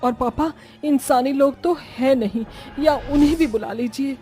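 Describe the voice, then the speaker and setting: Woman as cartoon witch bride, young, lovestruck, bossy, higher pitch, soft warm tone. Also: measured pace, faint music behind. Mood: lovestruck, coaxing. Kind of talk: Hindi horror-comedy cartoon dubbing.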